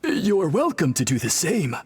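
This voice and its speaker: A dutiful priest in an anime game, very husky and on the high side. He explains things formally and courteously, with animated swings in pitch. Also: measured pace, full character voice. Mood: courteous, earnest.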